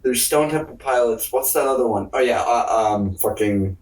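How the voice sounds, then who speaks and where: A man co-hosting a podcast in casual, comedic chat, rambling and haltingly searching for words, with plenty of swearing. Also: medium pitch, darkish tone. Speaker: podcast co-host. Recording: roomy, echoey, distant mic.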